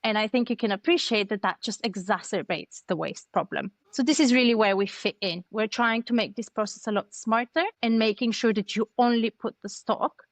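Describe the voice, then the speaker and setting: A woman's voice: a little high, fairly quick, few pauses, dry recording. A woman in her thirties from Bulgaria, a native Bulgarian speaker, explaining things articulately as an interview guest on a video call. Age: 30s. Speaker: interview guest, startup co-founder. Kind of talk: conversational explanation. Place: plain room at home, video call.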